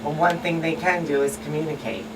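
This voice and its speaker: A woman guest talking casually on a radio show in an earnest, somewhat halting way. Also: low voice, steady tone, recorded from a distance. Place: radio studio. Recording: noisy room, off-mic.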